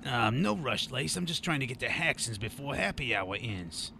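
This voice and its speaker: Jittery, animated streetwise informant. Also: male voice, medium pitch, moderate pace, vocal fry, slight background noise, full character voice. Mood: nervous. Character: nervous, shifty supernatural informant on the run. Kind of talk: radio drama monologue and dialogue.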